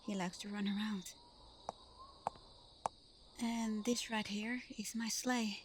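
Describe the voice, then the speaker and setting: A woman playing Santa's gentle, bashful adopted daughter in an ASMR roleplay, soft-spoken, hesitant and apologetic. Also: medium pitch, level tone, slow pace, noisy background, natural voice. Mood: gently explaining. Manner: soft-spoken, hesitant, breaking into little giggles.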